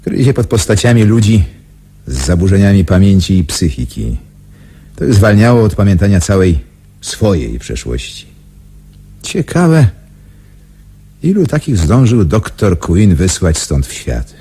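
An actor in a radio drama playing a sardonic, excitable secret-service major with a husky voice. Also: male voice, low-pitched, a little slow, pauses often, exaggerated voice. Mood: grimly impressed.

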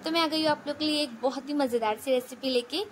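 High-voiced woman narrating a home recipe. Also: fast, slight background noise. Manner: steady step-by-step explaining, repeats phrases. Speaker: cooking channel host. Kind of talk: voice-over cooking tutorial.

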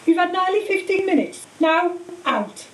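A woman's voice: very high voice, fairly even intonation, racing, mellow, pitched above the performer's own voice. A woman voicing a strict, fussy school matron, firm, clipped and disapproving. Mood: firm, sniffy. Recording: hissy, roomy, distant microphone.

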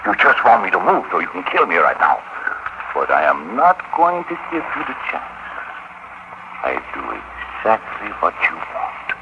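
A noisy, muffled 1940s radio recording carries a cruel Gestapo boss. He has a German accent and a scornful, menacing delivery. His pitch swings widely in theatrical style. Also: sing-song, normal speed. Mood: cornered, wary.

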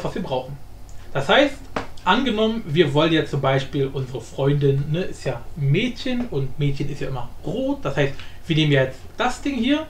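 Animated high-pitched man giving a tutorial. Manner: informal, jokey, self-interrupting asides. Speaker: tutorial presenter. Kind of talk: casual screen-recorded software tutorial. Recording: roomy echo, faint background noise.

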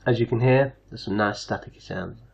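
A man hosting a casual software tutorial, explaining things step by step. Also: fairly low pitch, fairly quick, vocal fry, dull audio. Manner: step-by-step instructional. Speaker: tutorial host.

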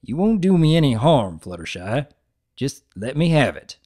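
Rustic male farm pony acted by a man: kind, folksy, plain-spoken, medium pitch, for a cartoon fan story. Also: very animated, normal speed, mellow. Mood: encouraging.

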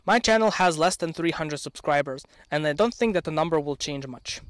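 A man's voice: fairly high pitch, animated, dry recording, clean studio sound. Earnest young man. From Bosnia and Herzegovina. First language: Serbian. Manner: earnest, measured, occasionally sardonic. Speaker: channel owner. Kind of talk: prepared opinion speech. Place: outdoors in an open field. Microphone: handheld microphone.

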